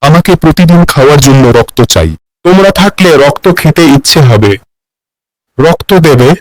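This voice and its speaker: A man's voice: a little high, lively intonation, normal speed, close to an everyday voice, low-quality recording. A man voicing a resentful, threatening, bloodthirsty male ghost in a cartoon fairy tale. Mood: menacing. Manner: threatening, demanding.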